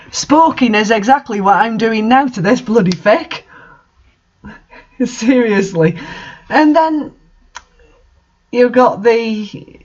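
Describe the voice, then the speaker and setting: A man's voice: sits high, highs cut off. A man doing a mocking read-aloud of bad fan fiction, with incredulous, sarcastic asides in casual commentary.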